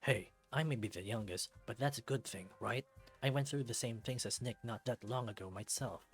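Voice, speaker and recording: A man's voice: mid-range voice, normal speed, faint music behind, close to an everyday voice. A man voicing an earnest young male superhero in a visual novel, eager and insistent in the way he talks.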